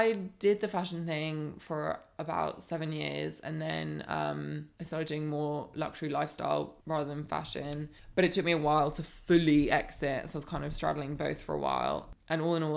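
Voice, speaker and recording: Low gravelly woman. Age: 30s. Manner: earnest, reflective, animated when building a point. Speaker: podcast guest, life coach. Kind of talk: candid personal storytelling.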